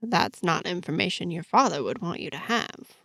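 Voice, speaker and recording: A mischievous, nonchalant rogue in a fantasy story, played by a woman narrator. He talks with easy teasing and animated pitch, a touch of creak in the voice, recorded dry. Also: moderate pace, no room sound, full character voice. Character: mischievous, easygoing man, a roguish pickpocket informant.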